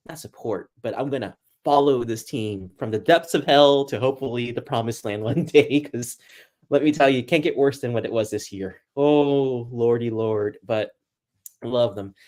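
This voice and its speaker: An American man from Boston talks as a guest sports journalist on a casual livestream. His voice sits at an average pitch. He is chatty and self-deprecating as he addresses the viewers. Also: expressive, normal speed. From Boston, United States.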